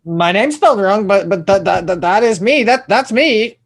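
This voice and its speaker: Male actor as hapless dreamer, aspiring writer, theatrical, excitable comedy character, screenplay table read. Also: high voice, moderate pace. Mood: pleased, proud. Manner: theatrical, swinging between gushing and bleak.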